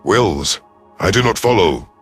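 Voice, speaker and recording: Male reader as gruff alien rebel commander: very low, proud, sardonic, stern, first-person sci-fi narration. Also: lively intonation, unhurried, a bit bright, soft background music, heavily acted. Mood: irritated. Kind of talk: first-person scripted audio story narration.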